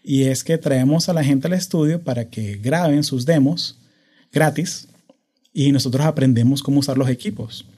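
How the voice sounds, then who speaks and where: A man in his thirties with a Venezuelan accent, hosting a casual podcast with energetic, hyperkinetic talk that mixes in English words. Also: mid-range voice, normal speed, husky. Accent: Venezuelan Spanish. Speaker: podcast host. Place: home podcast studio. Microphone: studio microphone.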